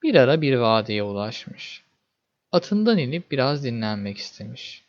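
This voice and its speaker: A man narrating a scripted fairy tale as a storyteller, in an expressive, dramatic manner. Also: medium pitch, normal speed, highs cut off.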